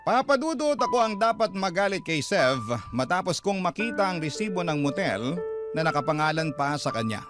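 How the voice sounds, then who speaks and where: Higher-pitched Filipino man narrating over music. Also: sing-song, moderate pace. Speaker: radio drama host reading a listener's letter. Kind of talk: dramatic scripted narration of a letter. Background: music behind the voice.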